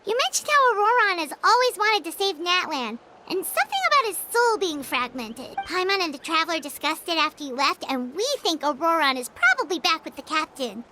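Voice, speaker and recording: A woman voicing a small, cheerful, childlike fairy companion in a video game, chirpy and excitable with a squeaky voice. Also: fairly quick, hardly any pauses, noisy background. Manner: chirpy, excitable, refers to itself by name.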